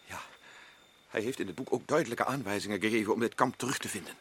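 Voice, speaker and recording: A man's voice: fairly low pitch, fast talker, a little hiss or hum. An actor playing an agitated, insistent male expedition member in a radio drama. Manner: urgent, rising to shouts.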